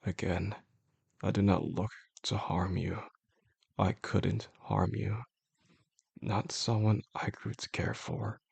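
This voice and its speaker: Man acting gravelly, obsessive CEO lover. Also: low voice, fairly even intonation, darkish tone, no echo, heavily acted. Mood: possessive, coaxing. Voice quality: gravelly, husky. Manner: slow, deliberate, coaxing, formal wording. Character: obsessive, possessive male CEO boss, calmly menacing lover. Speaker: audio roleplay voice actor. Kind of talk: intimate scripted roleplay monologue.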